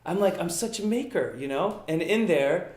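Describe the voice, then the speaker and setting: A man whose first language is Italian, speaking with eager enthusiasm and a steady stream of "you know"s. His voice sits fairly high for a man. The room gives it a roomy echo. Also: normal speed. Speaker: magician guest. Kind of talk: casual interview.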